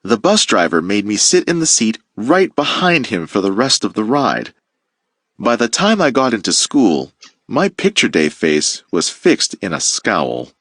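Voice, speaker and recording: A man teacher reading a children's picture book aloud with playful, expressive storytelling. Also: on the low side, a little slow, no echo.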